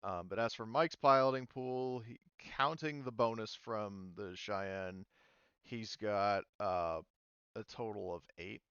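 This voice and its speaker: A man's voice: on the low side, slow pace, a bit bright, smooth, no room sound, lo-fi sound. A man acting as game master, explaining rules and thinking aloud, with many fillers as he checks things. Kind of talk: running a tabletop game online.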